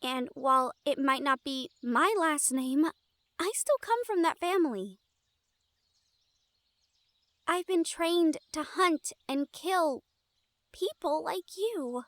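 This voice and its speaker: A woman's voice: sits high, fairly bright tone, no echo, exaggerated voice. A woman acting in an intimate audio roleplay as an anxious, tender young woman from a family of hunters, speaking earnestly and hesitantly.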